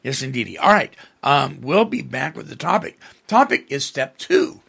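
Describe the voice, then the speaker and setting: A man's voice: mid-range voice, very animated, moderate pace, gravelly, a bit bright, breathy edge. A man hosting a talk radio show, rambling with fillers while fighting a cold. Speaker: radio host. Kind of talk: casual talk radio chat.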